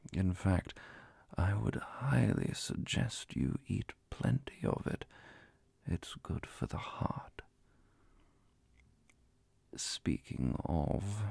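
A man voicing a calm, courteous, scholarly vampire inquisitor in a soft-spoken ASMR roleplay, breathy and gravelly, with measured, formal speech and whispers. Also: low-pitched, dry recording, heavily acted.

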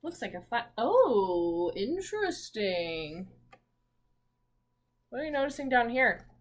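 Slow-paced young woman teacher, higher voice. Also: very animated. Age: 20s. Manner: simple questions, leaving pauses for answers. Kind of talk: picture-book read-aloud with questions to children. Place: small room at home, indoors.

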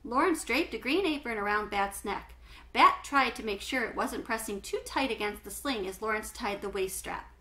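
A middle-aged woman reading a children's book aloud with animated, clearly phrased storytelling. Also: a little high, moderate pace. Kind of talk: expressive children's book read-aloud narration. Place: small home living room, indoors.

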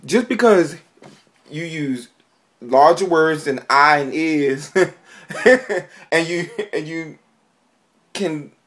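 A man's voice: a little high, extremely slow, pauses often, fairly bright tone. Hesitant man searching for words. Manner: laughs often, restarts and hesitates, searches for words. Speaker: podcast co-host. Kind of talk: casual discussion.

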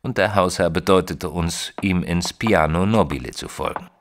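An actor playing a detective's earnest, puzzled companion, narrating in the first person in a German audio drama in a measured, literary manner. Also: male voice, deep voice. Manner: measured, formal, literary storytelling.

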